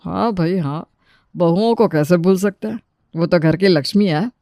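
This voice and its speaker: A woman acts out an older family patriarch in a cartoon tale. He is genial and proud, with a lowish voice for a woman player and a dry close sound. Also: normal speed, dark tone, no echo, close to an everyday voice. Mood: approving. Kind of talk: animated Hindi family story dialogue.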